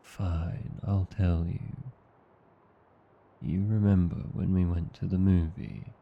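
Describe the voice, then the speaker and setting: A man with an Australian accent playing an affectionate, drowsy vampire husband in an intimate ASMR roleplay, murmuring softly and tenderly. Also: level tone, slow, highs cut off, exaggerated voice. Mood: sheepish, fond. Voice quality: low, gravelly, husky. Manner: languid, soft, tender murmuring.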